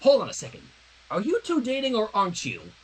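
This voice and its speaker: Pony princess voiced by a story reader, firm, earnest, competitive, raised husky pitch. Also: wildly swooping pitch, fairly quick, low-quality recording. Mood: insistent. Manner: firm, insistent, dramatic.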